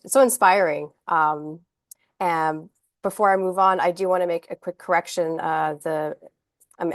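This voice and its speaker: A woman hosts an online meeting in a low, fairly flat voice. Her sound is dry and close. She speaks with a slightly fumbling manner. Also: no echo. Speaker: meeting host.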